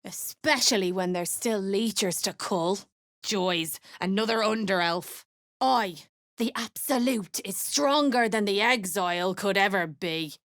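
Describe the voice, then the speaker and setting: An actress playing a curt, hostile duergar cultist in a fantasy game, speaking with open disdain. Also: female voice, mid-range voice, measured pace, a bit bright, no room sound, studio quality, lightly acted. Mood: scornful, curt. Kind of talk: fantasy game character acting.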